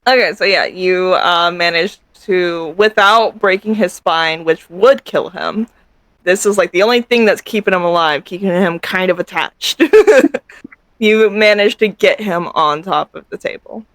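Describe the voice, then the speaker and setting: A woman's voice: on the low side, measured pace, fairly bright tone, no room sound. A woman with a Southern American accent, running a tabletop game as game master and joking with her players. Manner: laughing, joking.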